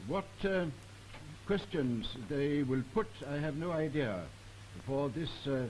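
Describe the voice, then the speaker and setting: British guy chairing an old fifties political broadcast, slow careful halting talk, bit of hiss. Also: on the high side, a little mellow, rough recording. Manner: measured, halting, deliberate. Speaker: broadcast moderator. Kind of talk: formal 1950s political broadcast introduction. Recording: hissy 1950s archive broadcast recording.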